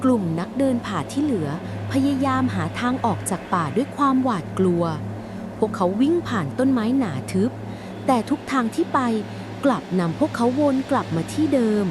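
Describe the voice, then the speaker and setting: A woman's voice: lively intonation, normal speed, over music. A woman narrating a scripted spooky story in a dramatic, suspenseful way. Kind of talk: scripted narration of a spooky bedtime horror tale. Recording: clear background noise, ambient rumble.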